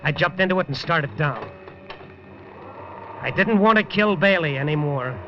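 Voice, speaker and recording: An actor playing a world-weary newspaper reporter who narrates his own story in a hard-boiled, low-key and wry way, in 1950s radio drama style. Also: lively intonation, moderate pace, slight background noise, natural voice. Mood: tense. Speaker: first-person narrator and lead. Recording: dull lo-fi 1950s radio recording.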